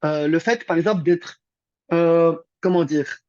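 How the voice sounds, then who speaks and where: Young man, high voice, rambling guest, muffled lo-fi sound. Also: measured pace, darkish tone, no echo. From Belgium. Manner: rambling with many fillers and restarts. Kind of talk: casual conversation.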